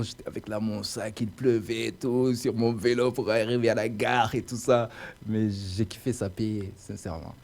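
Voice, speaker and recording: A man's voice: medium pitch, mellow. A young man being interviewed about his path into radio, talking earnestly and colloquially, sprinkling his sentences with casual fillers. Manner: earnest, colloquial, heavy use of "tu vois" and "quoi" fillers. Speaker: radio presenter interviewed as guest.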